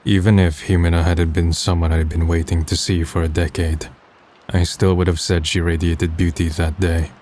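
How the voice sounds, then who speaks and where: A man's voice: low voice, flat delivery, moderate pace, a little mellow, a little hiss or hum, close to an everyday voice. An actor voicing a wry, pensive, melancholy young man in a manga dub, musing and understated. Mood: wistful narration. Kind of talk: manga dub dialogue and narration.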